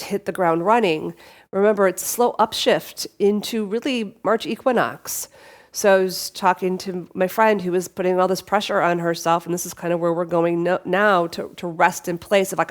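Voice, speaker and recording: A middle-aged woman hosting a reflective solo podcast, sniffly with a cold, earnest and prone to repeating and restarting her words as she talks to listeners. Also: on the low side. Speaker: podcast host.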